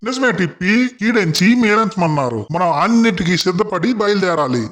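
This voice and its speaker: A man voicing an anxious, loyal penguin sidekick in a children's cartoon, folksy and excitable. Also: high-pitched, brisk. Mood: resolute. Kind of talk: children's animated story acting.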